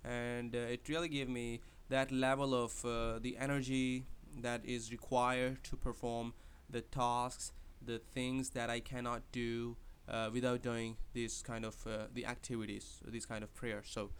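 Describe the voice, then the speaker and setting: Hesitant non-native English man, smooth voice. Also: mid-range voice, level tone, a little slow, hardly any pauses. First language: not English. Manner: hesitant, many fillers and restarts. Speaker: podcast host. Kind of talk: casual spoken advice monologue.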